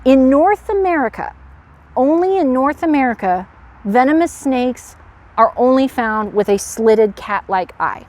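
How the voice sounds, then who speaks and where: Higher-voiced woman teaching kids, animated. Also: unhurried. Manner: animated, addresses young viewers with questions. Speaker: park educator presenting to children. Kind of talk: animated educational read-aloud and animal demonstration.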